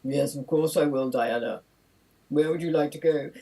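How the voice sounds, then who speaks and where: A gallant young rescuer, as a woman puts on a man's voice, speaking low and calmly reassuring. His voice is a touch breathy and heard far off the mic. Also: moderate pace.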